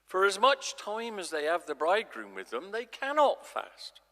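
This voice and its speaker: A man playing an authoritative male religious teacher in a live dramatic scripture reading, declaiming in measured, emphatic phrases. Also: high-pitched, huge pitch swings, bright, forceful tone, heavily acted. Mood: solemn teaching. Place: large church, live performance. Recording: echoey church, long reverb.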